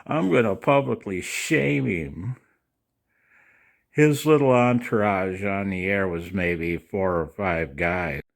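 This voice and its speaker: An older man talking casually and in a rambling way to his viewers as a video host, folksy and halting, with a gravelly voice. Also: low voice. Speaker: amateur radio operator and video host. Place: outdoors in the cold.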